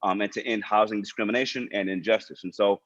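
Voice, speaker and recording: A man's voice: fairly low pitch, fairly even intonation, fast, fairly bright tone. A man speaking as a nonprofit director, giving informal prepared remarks to an online panel over a video call. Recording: dull, lo-fi video call audio.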